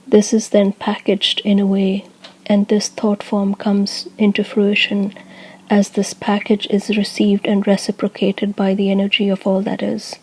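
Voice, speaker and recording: A woman speaking as a spiritual channeler, delivering a scripted discourse in a formal, measured, solemn manner. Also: mid-range voice, completely flat delivery, a little mellow, breathy edge, rough recording. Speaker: channeler speaking as a group of light beings.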